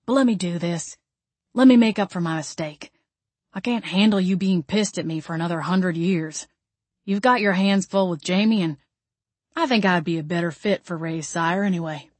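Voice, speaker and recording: A woman's voice: fairly low pitch, normal speed, a little mellow, no echo, lightly acted. A woman voicing a roguish, big-mouthed male vampire schemer in an audiobook story. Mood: contrite, coaxing.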